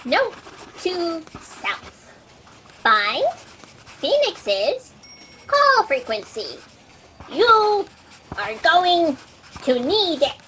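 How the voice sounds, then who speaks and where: Brief: very high, bright, childlike fact checker creature, eager and pedantic, sci-fi audio comedy, noisy line. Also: female voice, big pitch swings, exaggerated voice. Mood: halting, self-absorbed. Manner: halting note-to-self speech, fussy, pedantic. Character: flustered, literal-minded fact checker creature, Fran, eager and fussy. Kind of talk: sci-fi satire audio drama acting. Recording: noisy home video-call audio.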